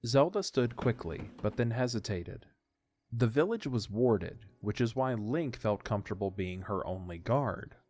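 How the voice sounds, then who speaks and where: A man's voice: lowish voice, expressive, a little slow, a little mellow. A man narrating an audiodrama as scripted story narration.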